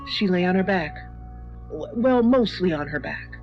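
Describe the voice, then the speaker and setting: A woman reading a scripted first-person horror story in a measured, dramatic, suspenseful manner. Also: on the low side, animated. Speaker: story reader. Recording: dull lo-fi audio with music.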